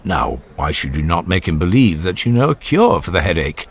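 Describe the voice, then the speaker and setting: Man playing an assured, expressive Athenian gentleman. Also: low voice, normal speed, husky, full character voice. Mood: persuasive. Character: cultured Athenian gentleman, proud of his young cousin.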